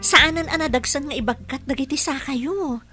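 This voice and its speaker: A woman's voice: high voice, normal speed, bright, forceful tone, soft background music. A woman playing a dutiful, deferential caregiver and mother in an audio drama, speaking respectfully and earnestly.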